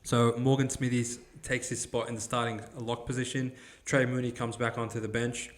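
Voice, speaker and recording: A man's voice: mid-range voice, level tone, normal speed. A man with an Australian accent hosting a sports podcast, talking in casual, blunt, slangy banter with plenty of swearing.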